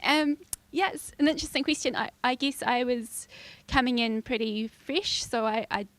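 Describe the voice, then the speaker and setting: A woman's voice: on the high side, fairly even intonation, moderate pace, fairly bright tone, dry recording. New woman in her twenties. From New Zealand. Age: 20s. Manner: hesitant, laughs easily. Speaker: city councillor. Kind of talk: panel discussion.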